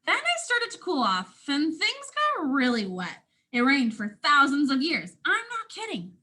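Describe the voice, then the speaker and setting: A woman's voice: sits high, huge pitch swings, normal speed, sharp tone, exaggerated voice. A woman with a North American accent voicing planet Earth as a proud, playful, ancient storyteller in a children's picture book, with dramatic emphasis. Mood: playful reminiscing.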